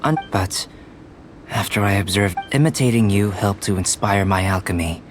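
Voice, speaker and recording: A man playing a calm, scholarly young alchemist in an anime video game, speaking in a measured, formal, gently philosophical manner. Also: fairly low pitch, lightly acted. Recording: music behind the voice.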